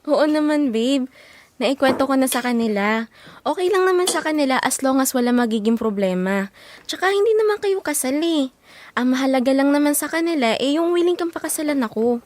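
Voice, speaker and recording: A woman's voice: high-pitched, expressive, brisk, a little mellow, no room sound. An actress playing a sweet, trusting young girlfriend in an audio drama. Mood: affectionate.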